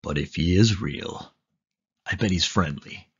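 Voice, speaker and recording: A man's voice: low voice, measured pace, husky, muffled recording, full character voice. A man voicing a kindly, reassuring father cat in a children's storybook, in a fatherly manner. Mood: playful reassurance.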